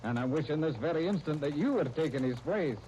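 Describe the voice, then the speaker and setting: An actor playing an eager, deferential, talkative Scottish private in a 1930s radio serial. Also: male voice, a little high, animated, fast, mellow, lo-fi sound, lightly acted. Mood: deferential, hopeful. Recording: noisy 1939 radio transcription disc.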